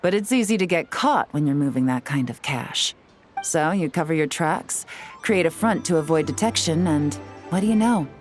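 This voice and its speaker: Game role: a cool, unhurried woman agent with a lower voice. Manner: calm, measured, dryly explanatory. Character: cool, composed female intelligence agent. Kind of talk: video game voice acting. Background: soft game music.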